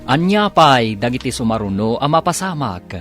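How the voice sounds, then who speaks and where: A man narrating a radio drama as announcer, with dramatic, drawn-out theatrical emphasis. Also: mid-range voice, very animated, fairly bright tone. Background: background music.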